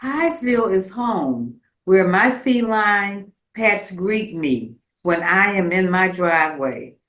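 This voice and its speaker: Slow, lyrical woman reciting in echoey room. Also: mid-range voice, lively intonation, fairly bright tone. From rural Virginia, United States. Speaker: community performer reading own piece. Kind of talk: scripted poetic monologue. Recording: roomy, echoing live space.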